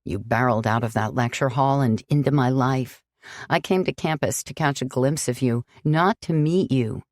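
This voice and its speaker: An earnest young man pleads for forgiveness in a low, steady voice, read by a woman narrator. He sounds sincere and remorseful. The recording is dry and close. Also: normal speed, no echo.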